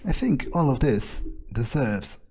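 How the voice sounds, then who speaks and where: A man playing a cold, smug vampire in a video game playthrough, musing aloud in a deliberate, sardonic way. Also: mid-range voice, animated, creaky, warm tone, slightly rough, exaggerated voice. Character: cold, smug, ruthless male vampire on the prowl. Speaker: gamer role-playing in character. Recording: faint music behind the voice.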